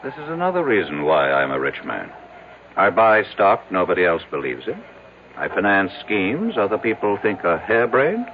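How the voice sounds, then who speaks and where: Brash american self made tycoon, old radio play, big swinging delivery, thin noisy vintage sound. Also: male voice, fairly low pitch, wildly swooping pitch, moderate pace. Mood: smug. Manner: brash, self-assured, declamatory. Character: arrogant, skeptical, self-made rich businessman. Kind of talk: old-time radio drama acting. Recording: narrow-band, noisy old radio recording.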